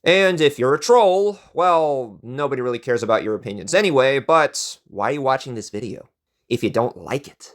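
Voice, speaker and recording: A man's voice: mid-range voice, expressive, normal speed. A man presenting a casual video introduction in a chatty, opinionated way.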